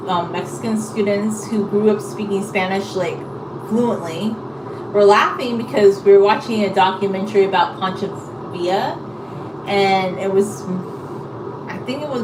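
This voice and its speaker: A woman chatting casually to viewers in a rambling, hesitant, apologetic way. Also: mid-range voice. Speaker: live-streamer chatting with viewers. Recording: echoey room, distant mic, loud background noise.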